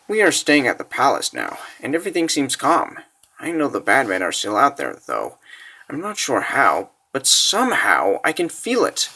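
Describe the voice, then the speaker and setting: A man's voice: medium pitch, bright, forceful tone, full character voice. A man voicing a brave, naive, kindhearted little girl in a comic dub, with an earnest and childlike manner. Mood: wistful, uneasy. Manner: earnest, childlike, simply spoken. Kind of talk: comic-book dub diary monologue.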